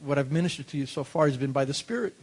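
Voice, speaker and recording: A man from Cuba preaching in English through an interpreter, emphatic and building to loud peaks. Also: medium pitch, quick pace, slightly rough. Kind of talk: bilingual sermon with interpreter.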